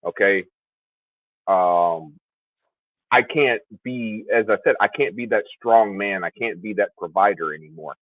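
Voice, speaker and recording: Low-ish guy stammering and apologizing a lot, sounds like he's on a phone. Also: measured pace, no echo. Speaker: panel participant. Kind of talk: casual panel talk. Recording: dry tinny phone-like line.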